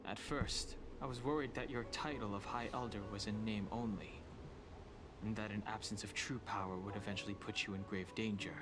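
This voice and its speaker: Low-voiced, solemn young male wanderer played by a man. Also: steady tone, brisk, background noise, lightly acted. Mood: solemn, reflective. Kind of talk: dramatic game voice acting.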